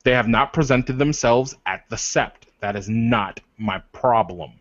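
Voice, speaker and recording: A man's voice: medium pitch, a little slow, no echo, close to an everyday voice. A man playing a proud, protective werewolf leader in improvised roleplay, arguing insistently with repeated phrases and pointed questions. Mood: proud, challenging. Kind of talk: improvised in-character roleplay dialogue. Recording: dull, lo-fi voice recording.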